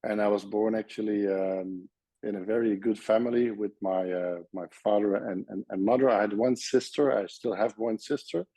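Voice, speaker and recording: A man's voice: on the low side, fairly even intonation, normal speed, mellow, no echo. A Dutch-accented man from the Netherlands, a surgeon guest in a casual interview, speaking friendly English with frequent restarts.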